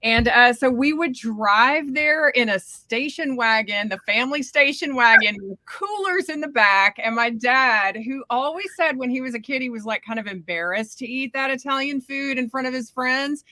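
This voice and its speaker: A chatty woman with a Texas accent tells stories as a podcast guest, lively and quick with asides. Her voice is slightly high and bright, recorded dry and close. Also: dry recording. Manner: chatty, animated storyteller. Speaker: guest author. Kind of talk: casual interview chat.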